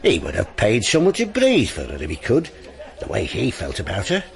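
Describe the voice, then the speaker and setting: A brooding police inspector in a radio drama. His pitch swings widely as he muses, dry and sardonic, with a philosophical streak. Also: huge pitch swings, moderate pace, vocal fry, exaggerated voice. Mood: low, reflective. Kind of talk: BBC radio drama acting.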